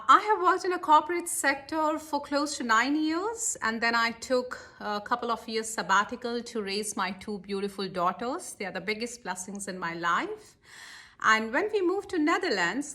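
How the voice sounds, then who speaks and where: A woman's voice: fairly high pitch, bright, forceful tone. A middle-aged woman with an Indian accent, speaking emphatically and directly to listeners as a life coach telling motivational stories. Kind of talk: motivational talk with storytelling.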